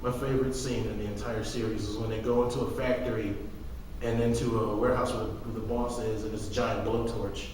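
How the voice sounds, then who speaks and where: A man's voice: on the low side, little pitch movement, brisk, a little mellow. An echoey, hall-like recording catches a young man some way from the microphone, with faint noise behind him. He chats casually as a podcast co-host, pushing his opinions hard, with sarcasm and the odd curse. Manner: emphatic, ranting, sarcastic, sweary. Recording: echoey hall, distant mic, light noise.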